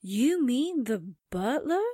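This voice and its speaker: A woman's voice: a little high, sing-song, slow, dark tone, no echo, studio quality, exaggerated voice. A woman playing a breezy, wisecracking sidekick in a 1940s style radio drama, slangy and teasing.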